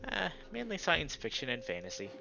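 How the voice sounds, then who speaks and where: A man's voice: a little high, huge pitch swings, brisk, gravelly, muffled recording, music in the background, voice pushed up, full character voice. A man voicing a nerdy, earnest male salamander writer in a visual novel. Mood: earnest, enthusiastic. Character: nerdy male salamander sci-fi writer, defensive but enthusiastic. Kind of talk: visual novel character dialogue.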